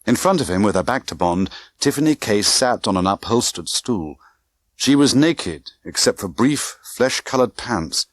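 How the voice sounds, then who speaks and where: A man's voice: fairly low pitch, dry recording. A man reading a thriller audiobook in a tense, theatrical manner with clipped short phrases. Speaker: audiobook narrator. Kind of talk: dramatic scripted narration of a spy thriller novel.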